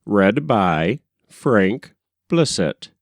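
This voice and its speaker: A man reading a short story aloud as scripted narration, in a measured, expressive storytelling manner. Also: lowish voice, no room sound, studio quality. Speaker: narrator, audiobook reader.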